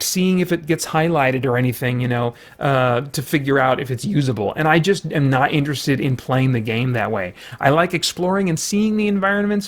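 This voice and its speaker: A man giving a casual spoken game review, rambling with many fillers and restarts and sounding mildly exasperated. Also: on the high side.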